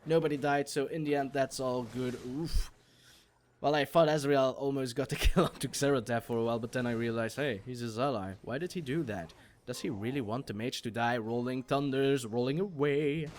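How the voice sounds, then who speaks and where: A young man from Belgium, an English speaker, doing jokey solo commentary on a game replay and getting loud on exciting plays. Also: mid-range voice, normal speed, a little hiss or hum. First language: not English. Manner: jokey, self-mocking, rises sharply on exciting plays. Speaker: esports shoutcaster.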